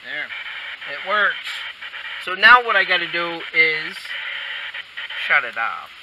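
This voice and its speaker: A man's voice: a little high, expressive, slow pace. A man giving a casual how-to while he works, talking in short, folksy remarks to the camera. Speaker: installer demonstrating a how-to. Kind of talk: casual hands-on tutorial talk. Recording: distant mic, loud hiss and vehicle noise.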